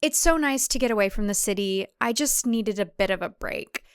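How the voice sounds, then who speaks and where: A woman's voice: medium pitch, normal speed, clean tone, no room sound, natural voice. A woman voicing a wry, uneasy city woman in audio fiction, dry and politely skeptical.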